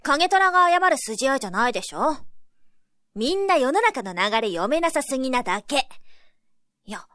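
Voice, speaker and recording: A woman playing an outspoken, sharp-tongued young female official in a radio drama, speaking bluntly and forcefully. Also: high-pitched, sing-song, normal speed, long pauses. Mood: exasperated. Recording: roomy echo with faint music.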